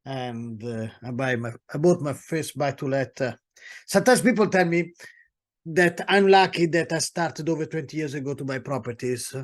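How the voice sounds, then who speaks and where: A man's voice: fairly high pitch, expressive, normal speed. Italian-accented man giving a webinar talk. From Italy. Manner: hesitant, many fillers and restarts. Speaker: guest speaker, property solicitor.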